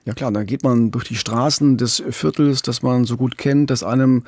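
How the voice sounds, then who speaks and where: A man's voice: mid-range voice, fairly even intonation, moderate pace, a little mellow, no room sound, clean studio sound. A man co-hosting a true-crime podcast, narrating a case in a conversational way. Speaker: podcast co-host and crime reporter.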